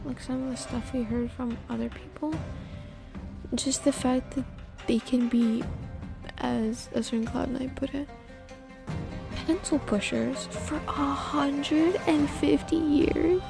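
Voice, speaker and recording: A woman's voice: high voice, steady tone, takes it slowly, vocal fry. A woman streaming a game, giving casual, slangy, laid-back commentary with some swearing, close on the mic. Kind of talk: casual live gameplay commentary. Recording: game music behind the voice.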